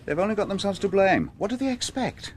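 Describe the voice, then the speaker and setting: A man's voice: high-pitched, very animated, brisk, a little hiss or hum. A man acting a wry, put-upon police detective in a radio drama, with a dry, sardonic manner. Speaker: lead actor in a radio drama.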